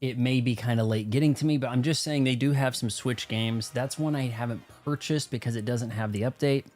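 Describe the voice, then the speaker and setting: A man's voice: medium pitch, brisk, darkish tone, soft background music. An American man hosting a video game livestream, talking casually in a rambling, conversational way. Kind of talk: casual gaming stream chat and commentary.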